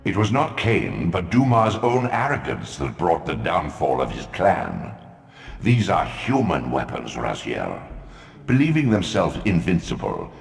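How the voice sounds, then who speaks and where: An actor playing a deep, commanding ancient male elder god, speaking in a solemn, ominous, declamatory voice with booming reverb for a video game. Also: full character voice. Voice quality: deep, gravelly, echoing. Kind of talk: video game voice acting and narration. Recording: reverberant game audio with music.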